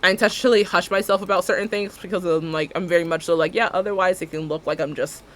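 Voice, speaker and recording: A young woman with a deep alto voice, chatting casually and rambling as she streams a building game, laughing often and talking to her viewers. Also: fairly even intonation, quick pace, a little hiss or hum.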